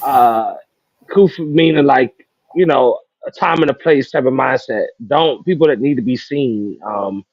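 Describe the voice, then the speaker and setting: A man's voice: mid-range voice, measured pace, vocal fry, a little mellow, breathy edge, no echo. A man chatting casually and reflectively as a talk show panelist, over a lo-fi connection. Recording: dull lo-fi connection.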